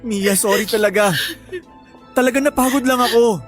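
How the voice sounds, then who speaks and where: A man's voice: extremely high pitch, normal speed, faint music behind. A man with a Filipino accent playing a guilty, weary young boyfriend, earnestly explaining himself in a radio drama. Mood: apologetic.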